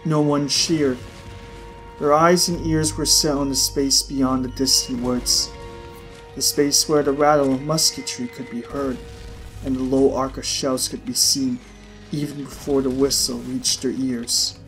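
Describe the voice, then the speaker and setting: A man's voice: medium pitch, level tone, unhurried, fairly bright tone, soft background music, poor audio. A man reading a story aloud as a scripted audiobook narration.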